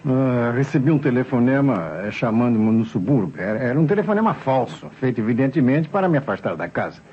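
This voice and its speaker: A man playing a suspicious, formal family doctor in an old radio drama, speaking in a halting, insinuating way. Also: medium pitch, very animated, lightly acted. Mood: grave, suspicious. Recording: muffled vintage radio recording, faint noise.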